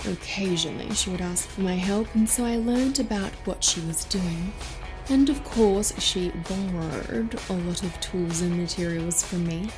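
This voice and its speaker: A woman's voice: on the low side, a little slow, music in the background, natural voice, poor audio. A woman playing a friendly, enthusiastic workshop inventor in an audio drama. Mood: friendly, excited. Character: friendly, enthusiastic adult female workshop tinkerer.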